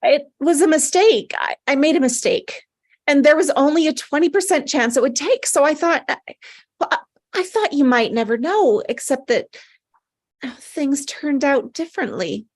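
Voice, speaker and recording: A woman acting a guilty, anxious doctor in a comedy drama table read, halting and apologetic. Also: high-pitched, lively intonation.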